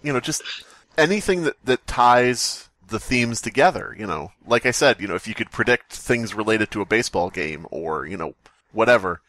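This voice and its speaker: A man's voice: lowish voice, lively intonation, moderate pace, fairly bright tone, no echo. A man co-hosting a podcast with casual comedic critique, wry and self-deprecating. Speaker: podcast co-host.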